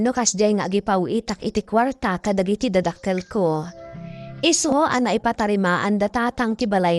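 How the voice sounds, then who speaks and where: A devoted Ilocano daughter played by a woman recounts her life in radio drama style. Her medium-pitched voice narrates in the first person over a music bed. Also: natural voice. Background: music under the voice.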